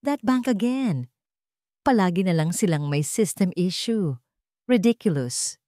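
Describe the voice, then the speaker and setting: A woman voicing a smooth-talking, boastful rich man who hides his desperation from his fiancée. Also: fairly low pitch, animated, measured pace, warm tone, no room sound, clean studio sound. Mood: scornful.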